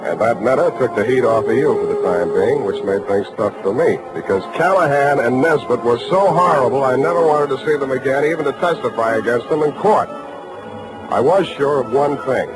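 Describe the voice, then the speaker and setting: An actor playing a tough, wisecracking private detective with an American accent and dry delivery in a 1940s radio drama. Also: male voice, medium pitch, lightly acted, low-quality recording. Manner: dry, wisecracking, hard-boiled. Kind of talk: 1940s radio acting with first-person narration.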